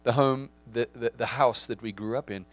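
A man in his forties with a South African accent, giving a reflective sermon with frequent restarts and pauses. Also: mid-range voice. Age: 40s.

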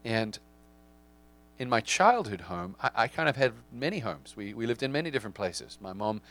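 A man's voice: mid-range voice, lively intonation, a bit bright. Reflective South African man preaching. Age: 40s. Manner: reflective, with frequent restarts and pauses. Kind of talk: sermon.

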